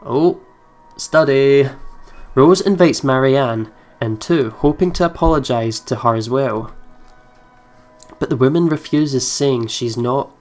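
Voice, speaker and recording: A man's voice: medium pitch, slow pace, darkish tone. A man hosting a let's play, reading game narration aloud and adding casual commentary. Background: game music.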